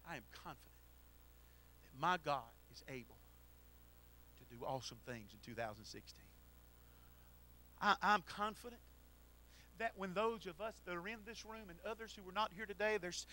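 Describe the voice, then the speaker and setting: A middle-aged man preaching a sermon into a handheld mic in a church, swinging from shouted exhortation to quiet storytelling. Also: sits high, slight background noise. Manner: preaches while pacing the platform. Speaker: pastor. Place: church sanctuary.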